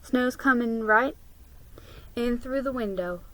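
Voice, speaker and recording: A woman voicing a gentle, hardworking mother from an old-time storybook, with homely, folksy phrasing. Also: a little high, slow pace, no echo, low-quality recording. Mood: gentle, instructing.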